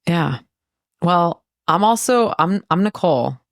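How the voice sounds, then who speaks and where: Deep-voiced woman, easygoing and gravelly. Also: lively intonation, a little slow, dry recording, clean studio sound. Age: 30s. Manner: easygoing, agreeable, frequent yeahs. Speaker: podcast co-host. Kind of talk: casual chat.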